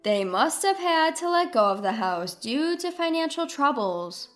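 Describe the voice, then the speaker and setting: A woman reading a scripted first-person story in a dramatic, expressive way. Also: sits high, measured pace, soft background music. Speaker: story narrator.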